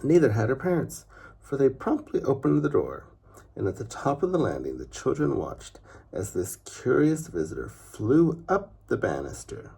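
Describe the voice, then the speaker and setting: A man narrating a children's story expressively, with deliberate phrasing and dramatic pauses. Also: mid-range voice, very animated, gravelly, mellow, slightly rough.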